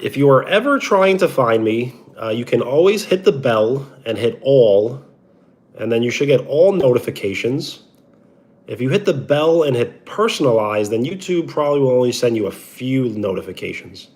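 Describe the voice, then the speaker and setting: A man's voice: medium pitch, lively intonation, normal speed. Brooklyn man greeting his live chat. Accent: Brooklyn, New York. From New York. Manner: greets chatters with a loud, stretched-out "yo"; talks like a sports radio personality. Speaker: live streamer. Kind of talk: casual live stream chat with viewers.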